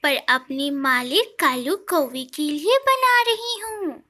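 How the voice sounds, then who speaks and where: A woman plays a sweet little female sparrow from a cartoon fable. The voice is very high and swoops around in an unhurried way. She sounds obedient, gushing and devoted. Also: expressive, bright, forceful tone, exaggerated voice. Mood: earnest, devoted. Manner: gushing, deferential. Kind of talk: Hindi cartoon story voice acting.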